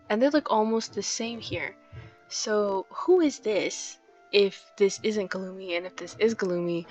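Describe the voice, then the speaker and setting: A woman's voice: mid-range voice, fairly bright tone. A woman hosting a let's play in a casual, chatty way, commenting on the game and reading from it. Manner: giggly, chatty, informal asides. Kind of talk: casual game commentary with some read-aloud narration. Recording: dull lo-fi mic, music behind. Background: game music.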